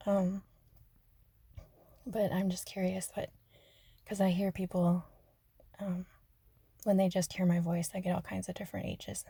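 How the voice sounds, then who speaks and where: A woman from Arizona chatting softly and rambling in a half-whispered ASMR style, joking about herself. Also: on the low side, flat delivery, measured pace, vocal fry, pauses often, slightly rough, no echo. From Arizona, USA. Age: adult. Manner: soft, half-whispered, self-deprecating, giggly. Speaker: ASMR creator. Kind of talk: soft-spoken rambling ASMR chat.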